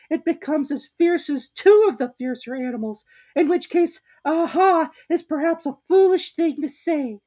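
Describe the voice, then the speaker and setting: A tiny, nervous pig from a children's storybook, voiced by a woman. The pitch sits way up high and moves about in a lively way, timid and fidgety but very earnest. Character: Piglet, very small, timid, anxious young male pig.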